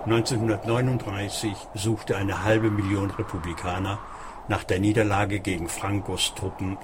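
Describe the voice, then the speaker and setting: A man reading scripted narration for a radio documentary. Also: fairly low pitch. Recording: clear ambient noise behind.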